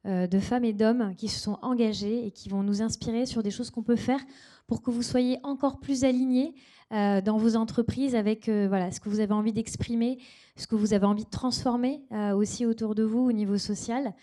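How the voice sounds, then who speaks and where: A woman's voice: a little high, steady tone, brisk, dark tone. A woman hosting a conference panel and introducing the speakers, with frequent hesitations and fillers. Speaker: event host. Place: conference stage.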